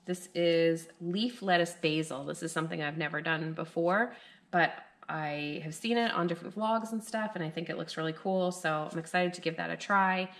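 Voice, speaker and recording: A woman in her thirties with a low voice talks casually to a camera in her living room at night. She rambles along loosely and fills her pauses with "like" and "um" as she chats about her garden. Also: steady tone. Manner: rambling and chatty, with many fillers. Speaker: homestead gardening vlogger.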